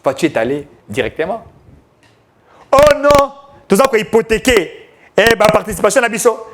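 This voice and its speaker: A man's voice: high-pitched, expressive, normal speed, a bit bright. A man giving emphatic, declamatory political commentary, mixing French with Lingala.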